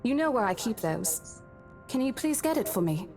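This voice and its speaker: An actress playing a brisk, courteous woman boss who gives orders in a visual novel game. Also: fairly high pitch, very animated, darkish tone, soft background music.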